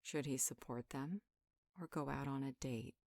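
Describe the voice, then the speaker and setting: A woman playing a calm, wise healer in an audio roleplay, talking a friend through things in a measured, reassuring, conversational way. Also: a bass voice, vocal fry, dry recording, studio quality. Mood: earnest, reassuring. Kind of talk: conversational roleplay monologue, one side of a dialogue.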